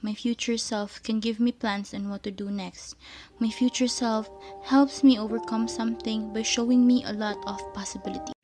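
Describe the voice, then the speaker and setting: A woman's voice: on the high side, steady tone, moderate pace, natural voice. A woman playing a confident female student in an amateur radio drama. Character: confident female student who sees her future. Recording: faint music behind voice.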